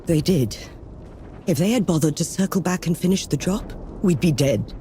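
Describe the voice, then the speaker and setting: Game role: actress plays skeptical female field marshal, authoritative, dark-toned, expressive, faint noise behind. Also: on the low side, moderate pace, close to an everyday voice. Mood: bitter. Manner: authoritative, curt. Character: stern, skeptical female field marshal, battle-worn commander. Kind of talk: video game cutscene acting.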